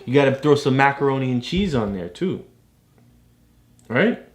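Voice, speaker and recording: A man's voice: mid-range voice, big pitch swings, moderate pace. A man, a father, casually and patiently explaining things to his child, checking with questions as he goes.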